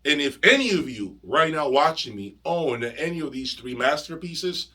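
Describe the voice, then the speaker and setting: A man hosting an enthusiastic video review, speaking emphatically with dramatic pauses. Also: a little high, expressive, bright, forceful tone, breathy edge. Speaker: audio review channel host. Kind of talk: enthusiastic product review presentation to camera.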